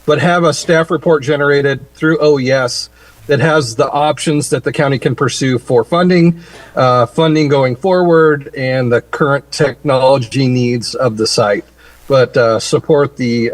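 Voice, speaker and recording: A man, a chief, giving halting, matter-of-fact comments at a public government meeting. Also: medium pitch. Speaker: chief advising county board.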